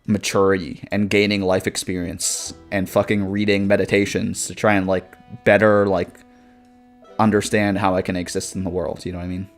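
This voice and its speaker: A man's voice: deep voice, level tone, normal speed, faint music behind. A man in his twenties chatting casually on a live stream, reading viewer comments and rambling with lots of swearing, fillers and laughs. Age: 20s.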